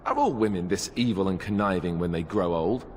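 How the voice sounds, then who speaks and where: An actor playing a wry, earnest young warrior in a fantasy game, with a dry manner. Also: male voice, low-pitched, a little fast, slight background noise, natural voice. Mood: wry teasing. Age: young adult.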